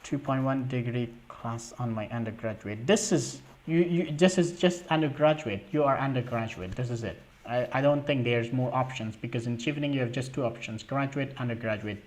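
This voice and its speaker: A man's voice: medium pitch, a little mellow. Young guy on a livestream, answering chat questions, lots of uh and um, stops and restarts. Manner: halting, many fillers and restarts; reads out viewer questions. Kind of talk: live Q&A stream.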